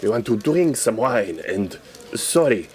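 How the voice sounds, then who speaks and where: A man playing a clueless, self-important European scene celebrity, hesitant and deadpan, in a comedy sketch. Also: medium pitch, a little slow. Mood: clueless, pompous.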